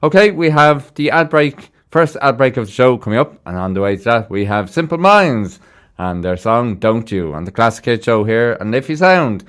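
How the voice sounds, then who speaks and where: A man's voice: mid-range voice, animated, normal speed, fairly bright tone. Male radio presenter. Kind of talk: live music radio hosting, chatty interview and links. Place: radio studio. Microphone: studio microphone.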